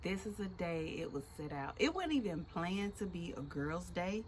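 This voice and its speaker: A woman in her forties chatting casually and laughing loudly with an old friend for her video. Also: fairly low pitch, moderate pace, a crowd in the background. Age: 40s. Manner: loud laughing, expressive, slangy. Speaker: vlog host.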